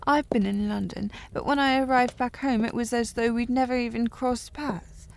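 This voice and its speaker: A woman acting a haughty, slightly tipsy young upper-class lady in a historical romance audio drama, with prim formal diction and a breathy voice that often drops to a whisper. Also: on the high side, fairly even intonation, normal speed, slight background noise. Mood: indignant, scolding. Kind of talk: historical romance audio roleplay, intimate one-sided dialogue.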